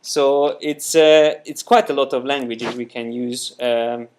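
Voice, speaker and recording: A man whose native language is Hungarian, teaching a live software class, explaining loosely with many fillers and restarts. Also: medium pitch, steady tone, unhurried, a little hiss or hum. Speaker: software trainer. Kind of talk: live screencast class with an audience.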